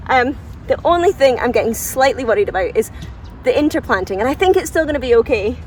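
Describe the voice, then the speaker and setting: A woman with a mid-pitched voice chats off the cuff as she shows viewers around a garden. She is enthusiastic and informal, often thinking aloud and poking fun at herself. The sound is outdoors, a little away from the mic, with some background noise. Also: distant mic, poor audio. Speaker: gardening vlogger giving a garden tour. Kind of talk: casual, unscripted chat to viewers.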